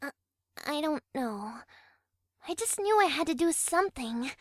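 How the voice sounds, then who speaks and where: A woman's voice: sits high, lively intonation, measured pace, dry recording, studio quality. A woman playing an earnest, bubbly teenage girl in a fan audio drama. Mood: sheepish.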